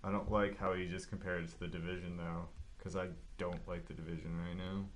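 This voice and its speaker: Blunt man with a lowish voice, chatting. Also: level tone, fairly quick. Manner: blunt, opinionated, mildly sweary, repeats himself. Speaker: podcast co-host. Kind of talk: casual, reactive chat.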